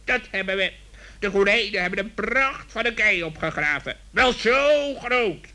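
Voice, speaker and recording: A man's voice: high voice, lively intonation, a little slow, bright, forceful tone, full character voice. A man playing a polite, sensible male talking forest bird in a children's radio play.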